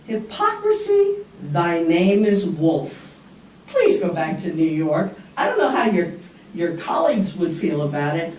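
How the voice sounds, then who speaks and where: A man's voice: sits high, darkish tone, slight background noise. An older man giving a rambling, sardonic public comment at a town meeting. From born in Japan. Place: large echoey meeting room. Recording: echoey hall from far off.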